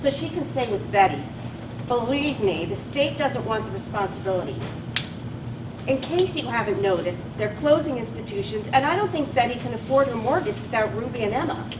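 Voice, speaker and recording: A woman's voice: on the high side, expressive, a little fast, close to an everyday voice. Actress as a pragmatic mother, distant and echoey. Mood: matter-of-fact. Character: knowing, pragmatic mother of a business owner. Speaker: actress in a one-act play. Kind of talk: live stage play acting. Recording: echoey, distant, noisy live stage recording.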